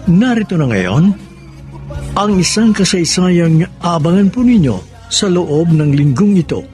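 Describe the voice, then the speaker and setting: A male radio drama host narrates in a sentimental, theatrical way. His voice sits fairly high and moves with very lively intonation. Faint music plays behind him. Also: unhurried. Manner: theatrical, poetic.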